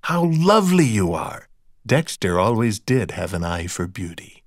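A man's voice: mid-range voice, big pitch swings, moderate pace, no room sound, clean studio sound, natural voice. A suave man as an audiobook narrator plays him who draws out florid, courtly phrases. His gallantry is brittle and theatrical, with a mocking edge. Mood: mocking joy. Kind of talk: audiobook character dialogue.

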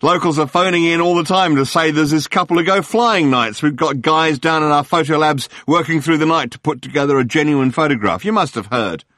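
A man voicing a gushing, fast-talking male tabloid journalist who babbles silly nicknames in a breathless torrent. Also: a little high, fairly bright tone, no room sound, clean studio sound, voice pushed up, natural voice. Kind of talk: audiobook character voice.

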